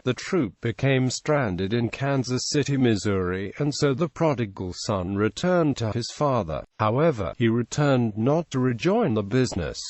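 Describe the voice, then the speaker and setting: A man narrating an encyclopedia article aloud with a steady read-aloud delivery. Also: mid-range voice, no room sound. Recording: dry, no room sound.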